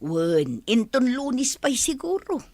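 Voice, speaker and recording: A woman's voice: a little high, sing-song, slow pace, darkish tone, no echo, heavily acted. A woman acting a motherly, reassuring middle-aged landlady in an audio drama, speaking conversationally with a Filipino Ilocano accent. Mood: easygoing.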